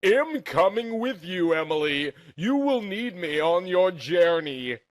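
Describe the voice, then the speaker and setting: A man's voice: high voice, big pitch swings, slow, voice pushed up, heavily acted. A man voicing a muscular young warrior lover, loyal, protective and earnest, in a storybook reading.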